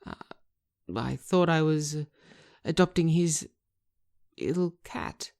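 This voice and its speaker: A woman's voice: sits low, gravelly, darkish tone, heavily acted. A woman voicing a flustered, politely awkward man who stammers and hesitates in a scripted audio drama. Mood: sheepish. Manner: halting, stammering.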